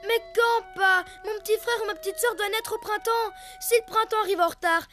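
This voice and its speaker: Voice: very high-pitched, brisk, sharp tone, soft background music. An actor voicing an anxious, curious young turtle child in a cartoon, eager and full of questions. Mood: worried, questioning. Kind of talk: French-language cartoon dialogue.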